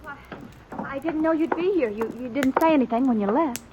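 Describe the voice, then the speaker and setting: An actress playing a timid but determined young woman in a radio western, halting and anxious, with an American accent. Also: high voice, natural voice. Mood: flustered. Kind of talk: 1950s radio western acting. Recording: muffled, slightly noisy old radio recording.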